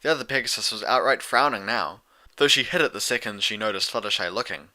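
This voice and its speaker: A man's voice: on the low side, quick pace, bright, forceful tone. A man reading scripted story narration as an audiobook narrator of fan fiction.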